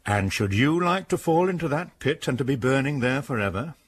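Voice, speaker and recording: A stern, preaching school patron. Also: male voice, medium pitch, lively intonation, fairly quick, breathy edge. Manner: severe, preaching and cold. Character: stern, pious male school patron, middle-aged. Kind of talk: radio drama acting.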